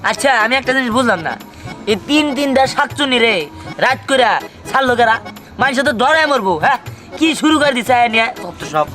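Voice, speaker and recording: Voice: high-pitched, fast talker, sharp tone. An actor voicing an exasperated young shopkeeper in a comedy cartoon, scolding and grumbling with a Bangladeshi accent. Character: exasperated young man running a snack shop. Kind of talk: colloquial Bengali comedy cartoon dialogue. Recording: music behind the voice.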